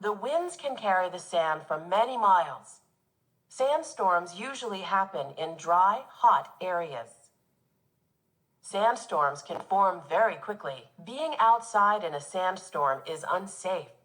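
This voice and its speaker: Woman teacher reading aloud with lively intonation. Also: on the low side, slow, poor audio. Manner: expressive, instructive delivery for young children. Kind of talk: children's nonfiction read-aloud.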